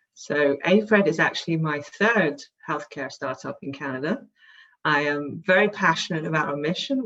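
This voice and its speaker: A woman's voice: low-pitched, fairly bright tone, dull audio. A woman from England with a London accent, a startup CEO speaking in a composed, articulate way in a podcast interview. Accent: London English.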